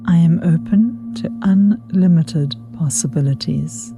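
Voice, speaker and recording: A woman guiding a meditation with affirmations in a soothing, unhurried way, with soft, breathy phrase endings. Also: lowish voice, highs cut off. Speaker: meditation guide. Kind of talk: guided meditation with spoken affirmations. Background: meditation music with singing bowl.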